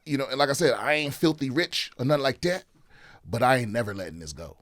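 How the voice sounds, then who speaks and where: A man's voice: mid-range voice, expressive, fast talker, a bit bright, dry recording, studio quality. A man in casual podcast chat, ranting and swearing, with many restarts. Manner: ranting, profane, repeats and restarts. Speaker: comedian guest.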